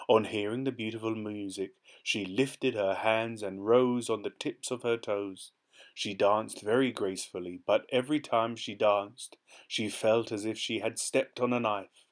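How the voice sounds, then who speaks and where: A man calmly reading a fairy tale aloud from a storybook. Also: on the low side. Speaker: storybook reader. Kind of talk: gentle read-aloud storytelling.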